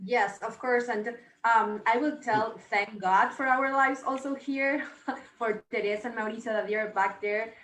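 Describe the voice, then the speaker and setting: Woman host, slightly high voice, effusive, echoey room recording. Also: a little slow, a bit bright. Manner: effusive, religious blessings. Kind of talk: live talk show hosting.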